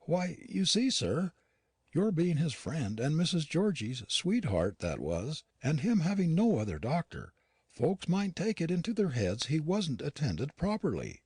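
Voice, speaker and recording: A mournful old Yorkshire housekeeper woman, pleading in homely dialect, as a male narrator puts her on. The voice is lifted high and a little husky. It swings widely as she talks. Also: sing-song, measured pace, a little mellow, no room sound, studio quality, heavily acted.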